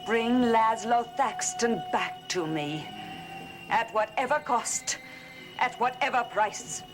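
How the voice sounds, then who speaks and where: Gothic TV soap: vengeful female conjurer, slow incantatory delivery, theatrical, music underneath. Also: fairly high pitch. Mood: vengeful invocation. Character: vengeful woman invoking demons in a curse. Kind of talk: 1960s-70s gothic soap opera acting.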